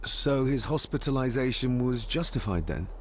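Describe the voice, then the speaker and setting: Man acting an inquisitive young gentleman with lively intonation. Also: medium pitch, normal speed, a little hiss or hum, natural voice. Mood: earnest, curious. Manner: polite, questioning, formal. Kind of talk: video game drama dialogue and inner monologue.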